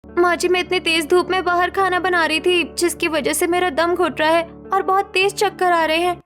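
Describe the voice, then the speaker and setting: A woman's voice: extremely high pitch, flat delivery, normal speed, fairly bright tone, soft background music. A woman voicing an earnest, educated young village bride in a cartoon story. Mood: distressed. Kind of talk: cartoon moral story acting.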